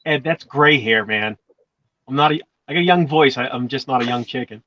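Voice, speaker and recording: A man's voice: mid-range voice, brisk, sharp tone, dry recording. Easygoing man on a dull video call. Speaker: guest on a live video chat. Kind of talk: casual chat.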